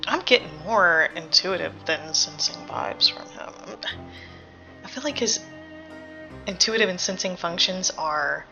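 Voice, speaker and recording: Casting: female reaction host, bright edge, analytical, sweary, casual, slightly dull lo-fi recording, faint music. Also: fairly low pitch, lively intonation, measured pace, gravelly. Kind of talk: casual game reaction commentary. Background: faint game music.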